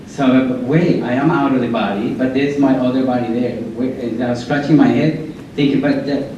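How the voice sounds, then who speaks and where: A man in the audience telling a personal experience and asking a question, hesitant and halting with fillers. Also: medium pitch, steady tone, warm tone, a little hiss or hum. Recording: distant, muffled audience mic with room echo.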